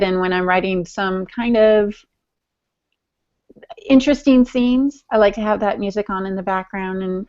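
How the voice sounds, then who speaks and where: A woman co-hosting a podcast in casual, chatty fashion, with a teasing streak. Her voice sits at an ordinary middle pitch. The audio is dull and lo-fi. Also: a little mellow. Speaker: podcast co-host and writer. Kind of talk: casual chat.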